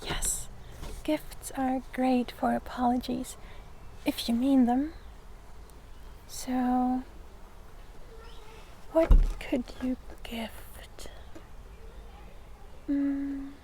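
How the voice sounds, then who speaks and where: A woman voicing a gentle, curious fae in an ASMR fantasy roleplay, soft-spoken and often slipping into whispers, hesitant and kindly. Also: high-pitched, level tone, extremely slow, pauses often, heavily acted. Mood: kindly, helpful. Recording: clear background noise of forest ambience.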